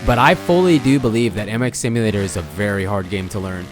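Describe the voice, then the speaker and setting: Hoarse young man rambling over gameplay. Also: on the low side, fast talker, over music. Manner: rambling, self-correcting, chatty. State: hoarse, losing his voice. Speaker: gaming channel host. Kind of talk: casual gameplay commentary.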